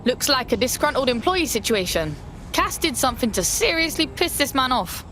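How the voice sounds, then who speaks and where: High-pitched streetwise London hacker. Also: female voice, sing-song, normal speed, a bit bright, a little hiss or hum. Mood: confident. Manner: slangy, punchy, informal. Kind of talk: game cutscene voice acting.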